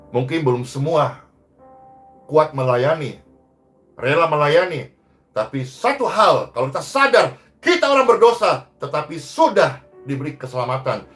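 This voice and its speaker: A man preaching a sermon as a pastor, exhorting emphatically and moving between loud rises and hushed phrase endings. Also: on the high side, sing-song, normal speed, pauses often, soft background music.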